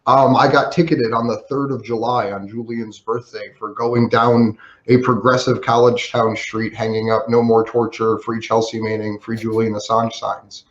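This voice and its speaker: A man's voice: mid-range voice, level tone, moderate pace, hardly any pauses. A man speaking earnestly and persuasively as a guest in an activist livestream. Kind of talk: casual livestream chat. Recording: roomy, dull video call audio.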